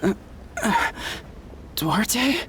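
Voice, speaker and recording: A man's voice: high-pitched, lively intonation, noisy background, heavily acted. A man playing a guilt-ridden young warrior in a video game, speaking in a hesitant, sincere, emotional way. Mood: dazed, hopeful. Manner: hesitant, halting, earnest.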